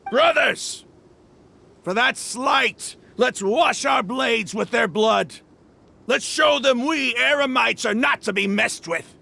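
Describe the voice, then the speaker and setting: An actor playing a brash, scornful mercenary gang leader in a video game, with a gravelly voice and a theatrical, taunting drawl. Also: male voice, very high-pitched, slow pace, pushed and bright, exaggerated voice. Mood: menacing. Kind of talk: video game villain acting.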